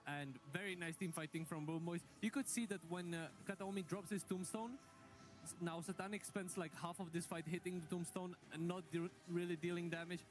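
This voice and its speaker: A man with a voice a little higher than usual gives a methodical esports breakdown. He walks through each fight step by step in a steady analyst's voice. Faint music plays underneath. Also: a little fast. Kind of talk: live esports analysis desk.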